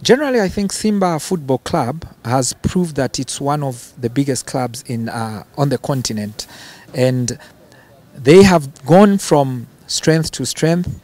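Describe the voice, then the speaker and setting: A man's voice: medium pitch, lively intonation, a little slow, a little mellow. A man answering interview questions, often repeating words as he gathers his thoughts. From Zambia. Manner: hesitant, repeats words, chuckles between phrases.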